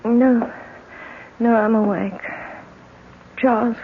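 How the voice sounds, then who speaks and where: Slow, drowsy, anxious wife. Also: female voice, fairly high pitch, fairly even intonation. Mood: drowsy, pleading. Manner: drowsy, halting, pleading. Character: frail, anxious, confused, sedated young wife. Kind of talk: 1970s radio drama acting. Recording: noisy, muffled 1970s radio drama recording.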